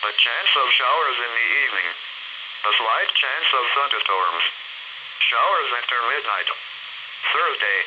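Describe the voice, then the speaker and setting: A man's voice: mid-range voice, muffled recording. Text-to-speech man, automated weather bulletin, segmented robotic reading, noisy radio signal. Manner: synthetic, flat, segmented delivery.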